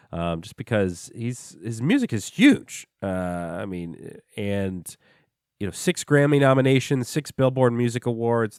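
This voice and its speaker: A man hosting a music podcast in a relaxed, chatty way, with a stuffed-up voice from a cold and plenty of filler words. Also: mid-range voice, dry recording, studio quality. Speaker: podcast host. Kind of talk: casual music show chat.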